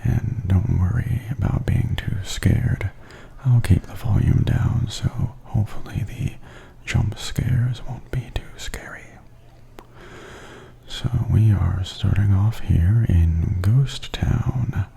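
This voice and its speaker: A man giving softly spoken ASMR tour commentary in a breathy near-whisper, gentle, unhurried and soothing. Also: deep voice. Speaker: host and tour guide.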